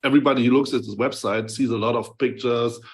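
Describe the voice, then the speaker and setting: A man speaks as the host of a podcast interview. His voice sits at a medium pitch. He talks conversationally and a little haltingly, repeating words as he shapes his questions. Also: steady tone.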